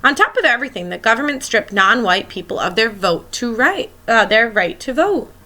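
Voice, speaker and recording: A woman's voice: on the high side, sing-song, moderate pace, bright, forceful tone. A woman schoolteacher reading a children's picture book aloud, expressively and as if speaking directly to young listeners.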